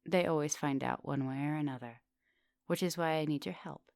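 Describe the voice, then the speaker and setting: A woman playing a coaxing, soft-dominant vampire professor in an intimate ASMR roleplay, speaking with polite, formal phrasing. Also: low-pitched, a little fast, creaky, no echo. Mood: confiding, coaxing. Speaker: teacher.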